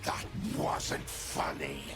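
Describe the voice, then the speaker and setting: A male actor voicing a sinister demonic scarecrow clown in a video game, with a creaky rasp, theatrical and manically playful. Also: very deep voice, flat delivery, exaggerated voice. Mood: dark, threatening. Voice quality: creaky, breathy rasp breaking into shrieks and growls. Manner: theatrical, drawn-out, menacing. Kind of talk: video game character voice lines. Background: music.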